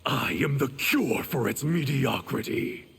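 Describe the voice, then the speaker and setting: An actor playing a cold, power-hungry ninja villain in fighting-game dialogue, gravelly, measured and scornful. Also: male voice, medium pitch, lively intonation, heavily acted.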